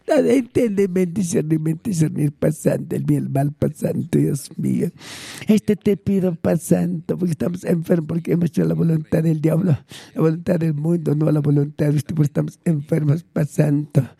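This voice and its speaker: An older man with a Peruvian Spanish accent prays spontaneously and pleadingly. His voice is husky and very mellow in tone, though set fairly high for a man. He speaks in short phrases, returning again and again to invocations. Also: moderate pace, no echo, studio quality. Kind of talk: extemporaneous fervent prayer.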